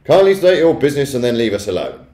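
Man voicing a blustering, coarse big father, loud and indignant. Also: mid-range voice, sing-song, normal speed, pitched above the performer's own voice, natural voice. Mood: gruff, defiant. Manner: shouting, brusque, dismissive. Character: large coarse blustering middle-aged father, indignant and pushy. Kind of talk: children's storybook character voice. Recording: echoey room.

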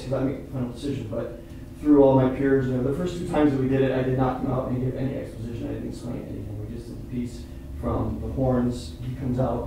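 A middle-aged man speaking as a playwright at an informal post-show talkback, halting and earnest as he explains. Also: mid-range voice. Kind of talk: informal discussion with an audience. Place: indoor theatre stage, seated on the floor. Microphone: far camera microphone. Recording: distant echoey hall recording with noise.

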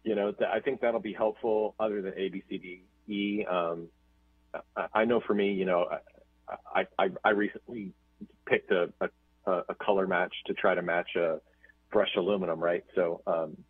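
A man's voice: low-pitched, fairly even intonation, moderate pace, breathy edge, no room sound. A man chairing a board meeting on a video call, rambling and repeating words. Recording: tinny phone-like call audio.

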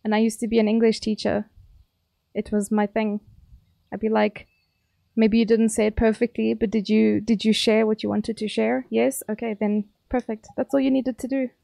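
A woman's voice: a little high, little pitch movement, normal speed, gravelly, mellow, dry recording, studio quality. A young woman with a South African accent, chatting casually and teasingly with her viewers while streaming a game. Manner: chatty, playful, teasing chat.

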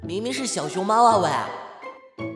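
A woman's voice: medium pitch, lively intonation, moderate pace, over music, lightly acted. A woman playing a small, haughty, grumbling panda cub in an audio drama, with a huffy, scolding manner. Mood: indignant. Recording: echoey, long reverb.

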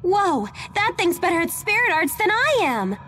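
A woman voicing an earnest, self-doubting teenage girl in a video game, expressive and plain-spoken. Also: very high voice, normal speed, heavily acted. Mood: animated. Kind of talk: English video game voice acting. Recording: game music behind the voice.

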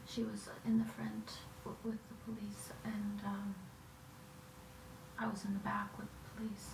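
A woman's voice: monotone, a little slow, vocal fry. A middle-aged woman giving an emotional, personal recollection of painful events. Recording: echoey, distant mic with noisy rumble.

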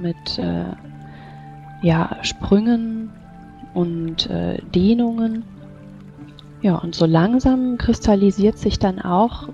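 A woman's voice: medium pitch, creaky. A woman narrating a tabletop roleplaying game as game master. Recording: slightly dull, music behind. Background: soft music.

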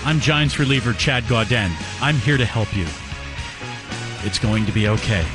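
Man putting on a creepy, low, soothing guy. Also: over music, lightly acted. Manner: creepy, slow reassurance. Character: creepy, soothing male drunk baseball player consoling strangers.

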